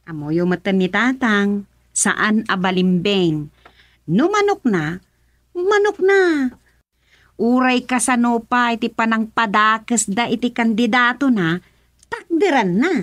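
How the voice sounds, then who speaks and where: Actress as outspoken ilocano daughter in radio serial, emphatic, fairly high voice, dry recording. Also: female voice, lively intonation, slow, pauses often, fairly bright tone, no echo. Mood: indignant. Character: outspoken grown daughter talking with her mother. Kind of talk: Ilocano radio comedy-drama acting.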